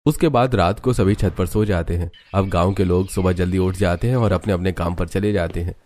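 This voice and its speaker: Male narrator with a low voice for an animated village tale. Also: brisk. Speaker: story narrator. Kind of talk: scripted Hindi cartoon story narration.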